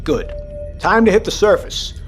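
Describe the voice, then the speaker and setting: A seasoned submarine captain in an audio drama, over clear background music. His voice sits a little high. He is calm, commanding and quietly resolute. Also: normal speed. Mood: brisk command. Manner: commanding, steady, reassuring.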